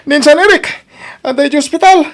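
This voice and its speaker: A woman playing a frantic, excitable in-law with urgent, exclaiming delivery in an Ilocano radio drama. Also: sits high, fairly quick, close to an everyday voice. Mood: panicked.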